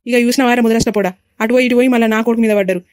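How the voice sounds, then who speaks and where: A woman acting as a quarrelsome mother in a village family drama. She scolds scornfully in Telangana Telugu at a furious speed, high-voiced and recorded close and dry. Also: steady tone, rapid-fire, a little mellow, no echo, lightly acted. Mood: scornful anger. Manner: scolding, insult-laden.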